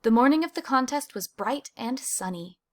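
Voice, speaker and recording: Higher-voiced woman reading a children's story. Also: lively intonation, moderate pace. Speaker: storybook reader. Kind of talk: expressive children's picture book read-aloud.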